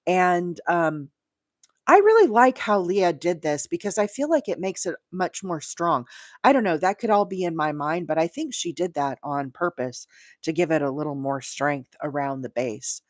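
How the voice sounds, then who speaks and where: A woman's voice: fairly low pitch, expressive, moderate pace, no echo, clean studio sound. A middle-aged woman hosting a casual craft livestream, chatty and thinking aloud as she talks to viewers. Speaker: livestream host and crochet demonstrator.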